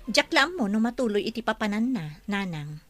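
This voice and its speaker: An actress with a Filipino accent playing a doubting, knowing young woman, measured and insinuating, in a radio drama. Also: medium pitch, lively intonation, dry recording, soft background music, close to an everyday voice. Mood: doubtful. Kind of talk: Ilocano radio drama acting.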